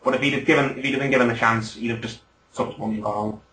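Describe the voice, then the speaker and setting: A man's voice: medium pitch, fairly even intonation, racing, pushed and bright, reverberant, a little hiss or hum, poor audio. A man hosting a casual podcast chat, rambling through his opinions and often asking for agreement. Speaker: podcast host.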